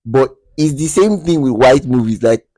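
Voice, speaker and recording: A man, co-hosting a podcast in casual chat, animated and quick to defend his views, laughing often and restarting sentences. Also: medium pitch, dry recording. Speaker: podcast co-host.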